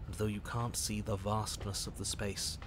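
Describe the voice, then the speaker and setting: A male actor playing a grave, ominous narrator of a horror game with measured, literary delivery. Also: fairly low pitch, level tone, background noise, natural voice. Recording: music behind the voice.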